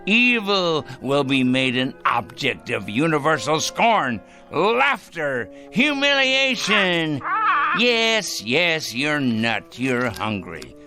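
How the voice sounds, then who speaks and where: A man's voice: a little high, big pitch swings, slow pace, music in the background, exaggerated voice. An actor playing a fanatical, self-righteous man obsessed with punishing evil, pompous and ranting, in a radio drama. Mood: grandiose, gloating.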